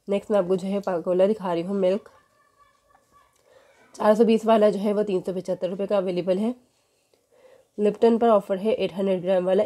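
A woman, walking through supermarket sale prices in a casual vlog, filming a poster on her phone in a store. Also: mid-range voice. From Pakistan. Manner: steady price listing with filler "jo hai". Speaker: shopping vlogger. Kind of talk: casual product walkthrough. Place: indoors in a supermarket.

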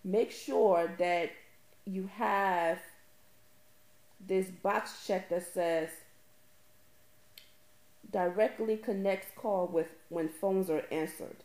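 A woman's voice: mid-range voice, fairly even intonation, very slow, long pauses, darkish tone, roomy sound, poor audio. A woman presenting an informal step-by-step tutorial, emphatically repeating key phrases for stress.

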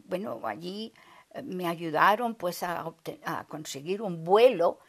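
Older woman, Basque native, interviewee, raspy and gravelly, animated storyteller. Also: lowish voice, measured pace. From Basque Country. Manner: lively storyteller, gestures a lot, acts out her own past remarks. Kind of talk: casual interview. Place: living room, on a sofa.

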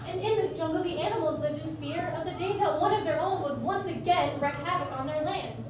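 A woman's voice: very high-pitched, a little fast. A woman giving scripted storybook narration in a school reader's theater performance. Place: classroom before a seated audience. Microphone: far camera. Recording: echoey noisy classroom, distant camera.

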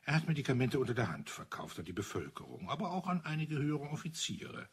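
An actor playing an old retired officer in a crime radio play, telling things in a deliberate, matter-of-fact, bitter way with a husky voice. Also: male voice, mid-range voice, lively intonation, a little hiss or hum. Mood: grim, restrained. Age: older.

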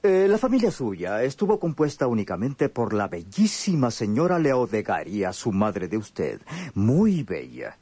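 A man's voice: lowish voice, huge pitch swings, unhurried, dark tone, husky, no room sound, full character voice. An actor playing a pompous, courteous, elegant lawyer in his fifties, pedantic and ornate, in a radionovela. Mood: delicate explaining. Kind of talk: radionovela acting.

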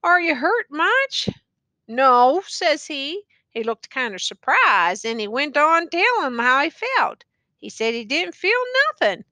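A woman's voice: sits high, big pitch swings, unhurried, sharp tone, no echo, pitched above the performer's own voice, full character voice. A woman voicing a wounded, tattered male soldier who rambles on in a slurred, folksy dialect. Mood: rambling, fretful.

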